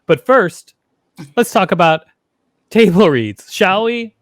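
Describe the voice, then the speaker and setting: A man's voice: high-pitched, expressive, slow, no echo, clean studio sound. A man in middle age hosting a podcast, explaining things conversationally and rephrasing his points as he goes. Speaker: podcast host. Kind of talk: conversational instructional podcast talk. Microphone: close studio microphone with foam windscreen, headset on.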